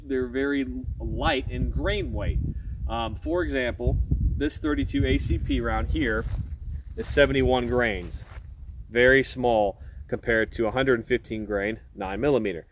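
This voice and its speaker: A man's voice: mid-range voice, distant mic, a little hiss or hum, low-quality recording. A man with a head cold explaining things casually as a channel host, nasal-sounding and filling gaps with lots of ums and restarts. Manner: frequent "um" fillers and self-corrections. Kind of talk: casual firearms explainer to camera.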